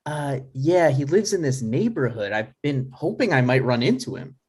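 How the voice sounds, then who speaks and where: A man's voice: mid-range voice, sing-song, moderate pace, darkish tone, slightly rough. A man playing a dry, exasperated, reluctant adult son in a comedy table read, hesitant and natural in delivery. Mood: disbelieving.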